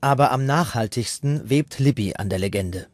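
A man's voice: mid-range voice, moderate pace, no room sound. A man reading scripted documentary narration as the narrator. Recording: music playing behind the voice. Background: music.

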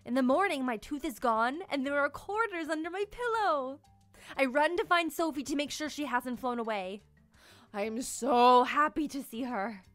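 Young female children's storyteller, high voice, expressive and playful delivery, light music underneath. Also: moderate pace, no room sound. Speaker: storyteller and children's channel host. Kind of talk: animated children's book read-aloud. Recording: music behind the voice.

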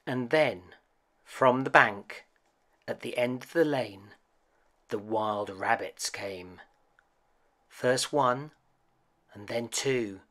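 A man narrating a children's storybook as host, with gentle, deliberate, expressive phrasing. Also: medium pitch.

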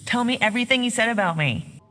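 A woman's voice: fairly high pitch, lively intonation, fast talker, big-room sound, natural voice. An actress playing a tough, irritable female military officer in a video game, curt and dry. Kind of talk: video game character dialogue. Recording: loud ambient machinery noise.

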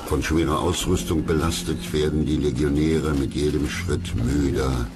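A man reading scripted history documentary narration in a measured, grave manner. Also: very deep voice, steady tone. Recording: loud music under narration.

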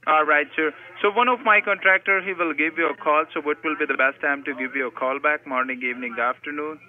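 A man's voice: on the high side, steady tone, fairly quick. A man working as a telemarketing caller, asking scripted sales questions in a formulaic, polite way with frequent sirs, heard through a phone. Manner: formulaic, polite, repeatedly saying sir. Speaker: telemarketing caller posing as senior supervisor. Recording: tinny speakerphone call audio.

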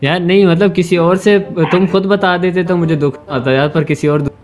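A young Pakistani man in his twenties talks in a casual, slightly high voice. He sounds like a gaming streamer on a prank call, with loud music playing behind him. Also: steady tone, moderate pace. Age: 20s. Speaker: gaming YouTuber and prank caller. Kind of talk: casual chat and prank calling. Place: indoor gaming room.

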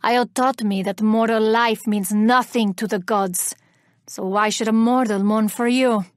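An actress playing a vengeful, haughty elven woman warrior in a video game, speaking with deliberate, theatrical menace. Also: a little high, fairly even intonation, no echo, clean studio sound, close to an everyday voice. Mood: bitter, menacing. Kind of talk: video game character voice lines.

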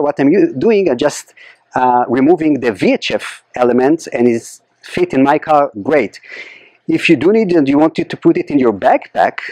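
A middle-aged man who speaks English as a second language, explaining gear casually as a channel host, hesitant and often pausing mid-sentence. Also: on the high side, a little mellow, no room sound. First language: not a native English speaker. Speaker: ham radio channel host. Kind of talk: casual product review and setup explanation. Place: backyard lawn outdoors, by a house.

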